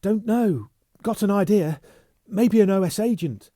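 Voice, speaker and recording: A man voicing a frantic, panicky young male messenger who shouts and gasps. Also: high voice, unhurried, dry recording, clean studio sound, pitched above the performer's own voice. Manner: shouting, gasping.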